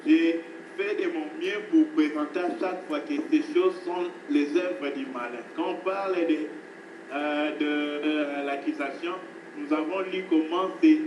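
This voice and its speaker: Male preacher, emphatic, repetitive delivery, somewhat high voice, distant noisy hall recording. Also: a little slow, muffled recording. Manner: emphatic preaching, repeats phrases, addresses listeners as beloved. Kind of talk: sermon.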